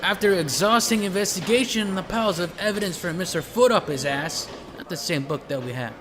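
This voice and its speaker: A man's voice: high-pitched, brisk, noisy background, natural voice. An actor playing a chatty, wisecracking male police detective, a sardonic and eager sidekick in an audio drama. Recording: reverberant hall, music underneath.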